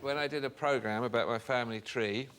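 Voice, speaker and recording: A man on a comedy panel show, chipping in with dry, matter-of-fact remarks. Loud studio noise runs behind his voice. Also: mid-range voice, fairly quick.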